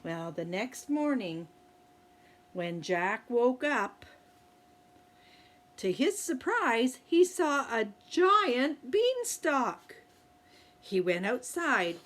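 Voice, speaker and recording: A woman retelling a fairy tale to young children in a gentle, expressive, sing-song way. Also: on the high side, drawn-out words, long pauses. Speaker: early-years storyteller. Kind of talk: children's story reading.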